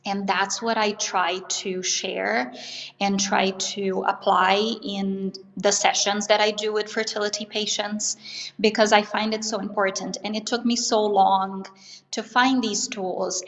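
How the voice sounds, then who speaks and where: A woman's voice: medium pitch, flat delivery, a little slow. Upbeat woman, echoey sound. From Brazil. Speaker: guest, Pilates instructor. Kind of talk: casual interview chat.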